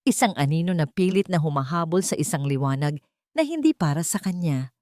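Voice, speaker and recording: A woman's voice: low-pitched, lively intonation, brisk, dark tone, dry recording, clean studio sound. A woman narrating a scripted love story in an emotive, dramatic storytelling manner.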